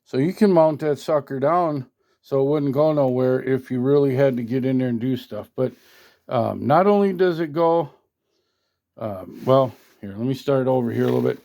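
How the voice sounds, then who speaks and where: A man's voice: medium pitch, normal speed, vocal fry, dark tone. A man giving a casual hands-on product demo in a folksy, colloquial, mildly salty way, recorded on a handheld phone at a workbench. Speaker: product reviewer, radio hobbyist. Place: at a workbench indoors.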